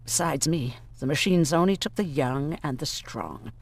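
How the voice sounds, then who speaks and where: A woman voicing a weary, reflective and quietly bitter outcast doctor who survived a camp, in a game. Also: sits low, moderate pace, slightly rough, no room sound. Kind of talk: game voice acting, confiding dialogue.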